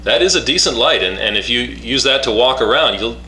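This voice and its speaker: A man giving a casual, unscripted product demonstration in a halting way, repeating and restarting his phrases. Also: mid-range voice, expressive, sharp tone. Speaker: product reviewer demonstrating a two-way radio. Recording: roomy echo, faint background hum.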